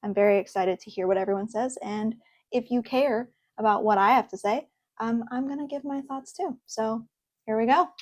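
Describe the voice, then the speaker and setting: A young woman hosting a casual reaction video from her desk at home, chatty and earnest, pausing often with ums. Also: on the high side, darkish tone, dry recording, clean studio sound. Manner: chatty and earnest, with fillers and pauses. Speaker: host. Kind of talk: casual reaction video, reading posts aloud.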